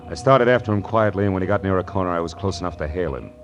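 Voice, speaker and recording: Wisecracking American gumshoe for vintage radio crime drama, deep-set voice, sardonic and streetwise. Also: male voice, expressive, very fast, soft background music. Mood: wry, brisk. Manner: wry, tough, slangy. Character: hard-boiled, wisecracking male private detective. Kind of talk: 1940s radio noir narration and dialogue.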